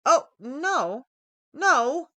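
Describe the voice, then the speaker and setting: A woman voicing a polite, easily surprised female programmer who speaks haltingly in an audiobook story. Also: high voice, big pitch swings, fairly bright tone, no room sound, voice pushed up, heavily acted. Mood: surprised, apologetic. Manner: hesitant, halting, eager to please. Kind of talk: audiobook character dialogue.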